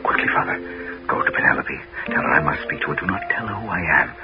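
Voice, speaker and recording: An actor playing a commanding middle-aged warrior king in a 1970s radio drama, with deliberate, measured dramatic phrasing. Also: rumbling low, lively intonation, over loud music. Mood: urgent, hushed. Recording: muffled, very noisy 1970s radio recording.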